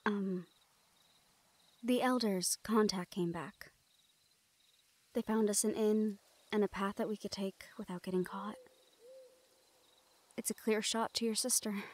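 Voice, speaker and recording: A woman playing a teasing, protective shadow-folk thief in an intimate fantasy audio roleplay, speaking softly and often dropping toward a murmur. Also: mid-range voice, lightly acted. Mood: hushed, worried.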